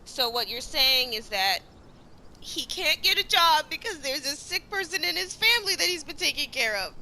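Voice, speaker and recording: A woman's voice: sits high, sing-song, moderate pace, bright, forceful tone. A woman streamer giving casual, informal commentary and talking back to the game as she plays. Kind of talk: casual reactive gameplay commentary.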